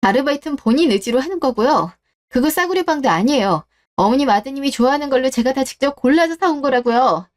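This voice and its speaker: High-pitched woman as a firm young wife. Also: expressive, fast talker. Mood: indignant, firm. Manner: polite but firm, protesting. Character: indignant, caring young wife standing up for herself. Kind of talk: scripted Korean online radio drama.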